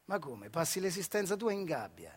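An old retired factory worker with a notably high voice and a central Italian accent from the Marche. He is weary and bitter, with rueful irony. The delivery is a colloquial theatrical monologue steeped in dialect. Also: big pitch swings, normal speed, husky. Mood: bitter, resigned. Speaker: solo stage actor.

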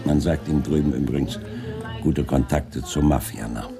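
An actor playing a shrewd, seasoned male chief inspector in a 1980s German crime radio play, deliberate and dryly authoritative. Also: extremely low pitch, vocal fry. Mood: matter-of-fact. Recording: studio radio play with music behind.

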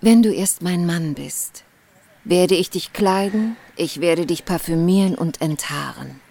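Temptress queen, radio play: lowish female voice, slow, coaxing, imperious, playful. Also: vocal fry, dark tone. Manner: languid, coaxing. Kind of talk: literary radio drama acting.

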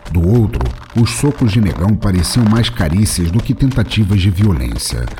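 A man's voice: fairly low pitch, lively intonation, normal speed, noisy background. A man with a Brazilian accent delivering scripted narration for a comic action story. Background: music.

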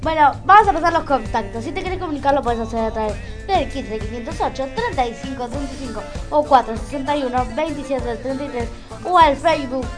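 Female community radio host, Argentine accent, high pitch, informal and halting delivery. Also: animated, over music. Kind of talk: live community radio hosting, casual.

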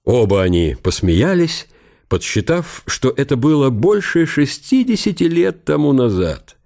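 A man's voice: medium pitch, sing-song, unhurried, vocal fry, darkish tone. A man narrating a historical radio drama in a dramatised literary style.

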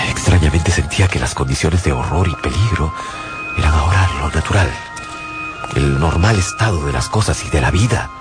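An actor playing a grave, weary male survivor who narrates his ordeal in a somber, dramatic radio drama style. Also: extremely low pitch. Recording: loud music under the voice.

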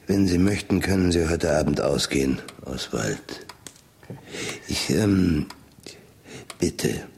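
A man's voice: extremely low pitch, darkish tone, breathy edge, exaggerated voice. A man playing a thoughtful, reserved scientist in a radio drama, speaking in a hesitant, reflective way. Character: thoughtful, reserved male scientist and wealthy employer.

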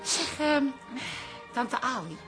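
Bossy high-voiced housewife. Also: female voice, lively intonation, music in the background. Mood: sly. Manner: brisk, emphatic. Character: bossy, brisk wife and daughter running the household. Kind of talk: comic radio drama acting.

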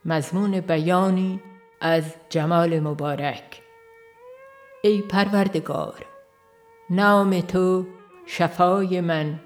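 Woman audiobook narrator, lowish register, slow reflective delivery over faint music. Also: steady tone. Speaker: audiobook reader. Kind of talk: scripted devotional reading. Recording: faint instrumental music behind.

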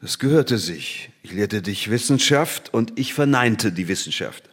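An actor playing an old, half-blind captive scientist in a stage drama, speaking in deliberate, ironic, measured phrases. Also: male voice, fairly low pitch, expressive, fairly bright tone, husky, heavily acted. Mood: dry, resigned.

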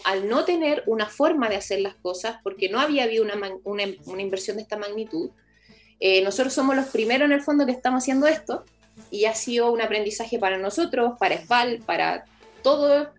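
Female, Chilean accent, official interviewee. Explanatory and halting, slightly high voice, light music underneath. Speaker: municipal project official being interviewed. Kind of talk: informal explanatory radio interview.

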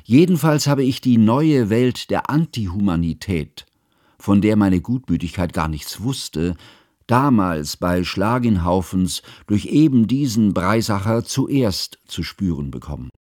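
An actor playing a mild, cultured schoolteacher who narrates his memoir in a radio drama, measured, bookish and reflective. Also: fairly low pitch, lively intonation, a little mellow, dry recording, clean studio sound. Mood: regretful, reflective.

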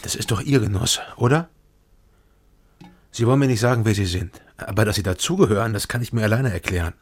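An actor playing a wary investigator in a radio crime drama, terse, matter-of-fact and sceptical. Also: male voice, fairly low pitch, fairly quick, slightly rough, no room sound. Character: wary, dry male investigator narrating his own case.

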